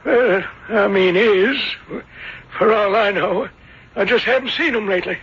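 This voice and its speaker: A man's voice: sits high, unhurried, husky, exaggerated voice. An actor playing a flustered, defensive small-town innkeeper in a radio drama, stammering and folksy as he grumbles. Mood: scornful, evasive. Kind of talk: 1970s radio drama acting. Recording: dull lo-fi old radio broadcast.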